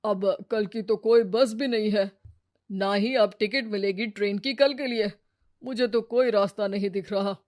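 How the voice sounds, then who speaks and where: A woman's voice: medium pitch, fairly even intonation, measured pace, darkish tone, dry recording, lightly acted. Woman acting a trusting middle-aged parent, dry sound. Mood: worried. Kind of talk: cartoon moral story acting.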